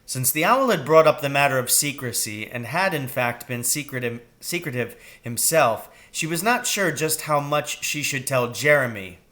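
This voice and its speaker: A man's voice: mid-range voice, lively intonation, moderate pace, hardly any pauses, sharp tone. A man reading a children's novel aloud as scripted storytelling narration. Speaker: reader, storyteller.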